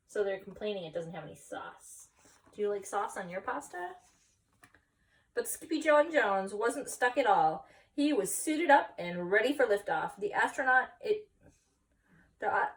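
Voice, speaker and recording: A woman reading a children's story aloud expressively, pausing for explanatory asides and questions to young listeners. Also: mid-range voice, far from the mic. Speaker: story reader.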